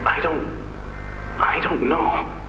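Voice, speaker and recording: An actor playing a terrified, trapped warden in a video game, pleading and stammering. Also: male voice, slow pace, roomy sound, muffled recording, noisy background, close to an everyday voice. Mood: desperate, hesitant. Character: panicked, terrified male prison warden trapped during a rebellion. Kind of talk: dramatic video game voice acting.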